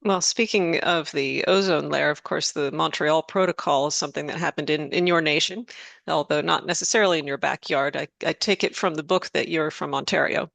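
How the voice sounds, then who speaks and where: An interviewer with a gravelly, creaky voice poses long, carefully worded questions. The sound is dry and close, with no room around it. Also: mid-range voice, fairly bright tone, dry recording. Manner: measured, polite, asks long questions.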